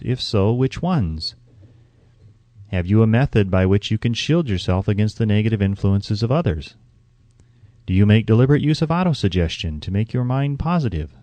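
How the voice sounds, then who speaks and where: A man reading a self-help audiobook in a measured, instructive way, with carefully phrased scripted narration. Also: on the low side, dark tone, no echo.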